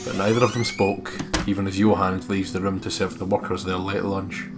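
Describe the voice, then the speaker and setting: A man co-hosting a let's play, reading the game's text as expressive narration and adding casual commentary. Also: low-pitched, brisk. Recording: game music underneath.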